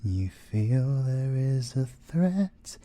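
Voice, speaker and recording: A British man playing a possessive, scheming siren in an ASMR audio roleplay, seductive and theatrical, with drawn-out words and whispers. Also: medium pitch, big pitch swings, mellow. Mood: menacing, singsong. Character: possessive, scheming male siren, obsessive lover and villain.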